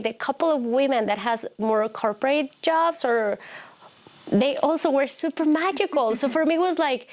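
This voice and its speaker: A woman speaking English as a second language, telling a story in a warm, heartfelt conversation and searching for her words. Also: a little high. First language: not English. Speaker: guest.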